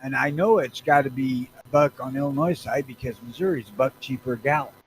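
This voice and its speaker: A man's voice: mid-range voice, a little fast, a little hiss or hum, low-quality recording. A blunt, opinionated man co-hosting a podcast, swearing freely in casual chat. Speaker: podcast co-host.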